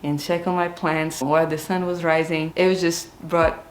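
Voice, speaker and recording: Low-voiced Brazilian woman, roomy echo. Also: level tone, normal speed. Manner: earnest, open and reflective. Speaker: gardening and cooking channel host. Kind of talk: personal storytelling vlog. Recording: roomy echo with faint music.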